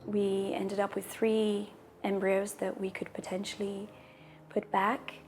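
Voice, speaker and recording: A woman in her thirties, a native Portuguese speaker, telling her personal story in a calm, measured way, with a husky voice and deep breaths between sentences. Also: faint music behind. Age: 30s. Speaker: interviewee sharing a personal story. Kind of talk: personal testimonial.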